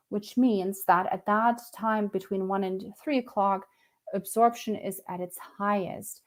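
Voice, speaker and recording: A woman's voice: mid-range voice, darkish tone. A middle-aged woman from Germany, a nutritionist explaining in a casual talk to camera, thoughtful and hesitant, with frequent fillers and restarts.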